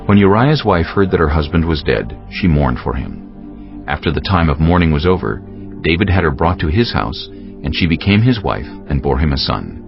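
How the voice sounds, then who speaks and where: Very deep, gravelly male scripture narrator. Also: big pitch swings, moderate pace. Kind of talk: scripted dramatized Bible narration. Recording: slightly dull audio. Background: faint music.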